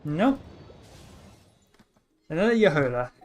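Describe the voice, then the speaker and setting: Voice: medium pitch, big pitch swings, slow pace, faint music behind. A game streamer giving casual commentary, muttering offhandedly to themselves while playing. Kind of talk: casual gameplay commentary, reading aloud.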